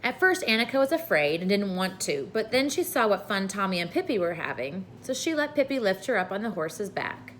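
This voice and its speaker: A woman reads aloud from a children's book in an informal storytelling style. Her voice sits at an average pitch. A faint layer of noise runs behind the reading. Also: sing-song, a little fast, hardly any pauses, fairly bright tone, low-quality recording. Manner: informal, with brief asides to listeners.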